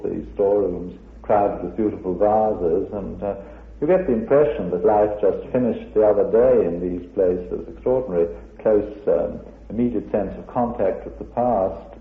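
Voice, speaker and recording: A middle-aged Irish-accented man, an educated academic, recollecting conversationally and at some length in an interview. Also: low-pitched. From Ireland. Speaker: classics professor interviewee. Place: room at a college. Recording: roomy, phone-like old radio recording.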